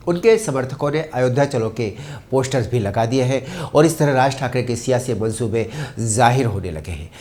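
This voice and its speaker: A man anchors a news bulletin in a medium-pitched voice. He reads from a script in a formal register, putting clear emphasis on key phrases. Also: a little mellow.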